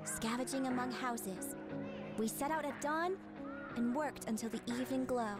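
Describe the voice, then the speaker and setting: A high-pitched, plucky young schemer, played by a woman narrates like a game trailer heroine. Her storytelling is wistful and deliberate, over clearly audible music. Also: lightly acted. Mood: earnest, determined. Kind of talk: scripted dramatic trailer narration.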